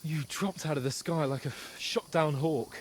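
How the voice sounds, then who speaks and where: An actor playing a nervous young companion in the woods who stammers and rushes his words. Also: a little high, a little hiss or hum, close to an everyday voice. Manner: jittery, stammering, fast. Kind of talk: game cinematic acting.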